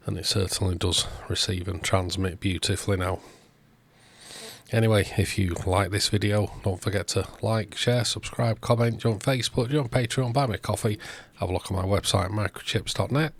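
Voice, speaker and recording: Low-pitched man narrating a repair. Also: slightly rough. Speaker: host of electronics repair videos.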